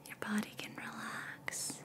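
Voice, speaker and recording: A woman whispering a guided ASMR meditation in a breathy, soothing way, with short repeated phrases and long pauses.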